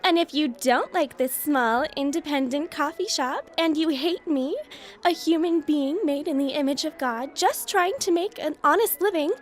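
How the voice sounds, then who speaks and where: Very high-pitched, over-friendly barista played by a woman. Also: moderate pace, faint music behind, close to an everyday voice. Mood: wounded. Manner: perky, over-friendly, passive-aggressive. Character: relentlessly chirpy, guilt-tripping coffee shop barista. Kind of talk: audio sketch comedy acting.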